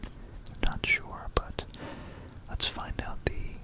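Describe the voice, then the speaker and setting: An ASMR host whispering an educational history talk in an unhurried, explanatory manner, close to a stereo microphone. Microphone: close stereo binaural microphone.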